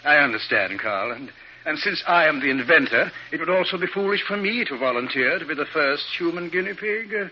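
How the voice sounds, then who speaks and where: Actor as an excitable old radio-play professor. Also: male voice, a little high, close to an everyday voice. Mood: proud, musing. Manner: theatrical, hesitant, deliberate. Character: eager, proud older male scientist inventor professor. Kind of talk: 1950s radio drama acting. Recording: muffled, noisy 1950s radio recording.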